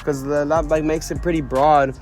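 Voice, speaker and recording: A man's voice: on the high side, level tone, normal speed, over music. A young man from Quebec, a musician being interviewed, talking casually with many fillers and restarts. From Quebec, Canada. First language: French. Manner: rambling, lots of fillers and restarts, slangy.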